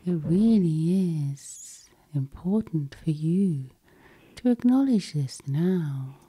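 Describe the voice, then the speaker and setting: A woman gently guiding a sleep hypnosis session with breathy, whispery, calming ASMR delivery. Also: sits low, slow pace.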